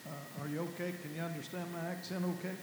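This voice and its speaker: A man with a Southern American accent preaching a sermon in a folksy, rambling way, restarting phrases and filling pauses. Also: a little high, fairly even intonation, background noise. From Southern United States. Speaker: guest preacher. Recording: echoey hall with faint ambience.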